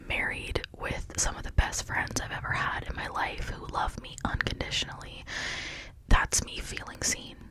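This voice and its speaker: A woman speaking in a breathy whisper, rambling in a reflective, earnest and confiding way as an ASMR creator. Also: rough recording. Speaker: ASMR video creator and host.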